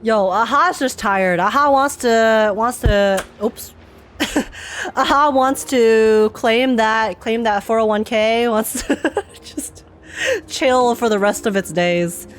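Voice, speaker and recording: A woman's voice: a little high, takes it slowly. A young woman streamer giving casual, chatty reaction commentary on a game, laughing often.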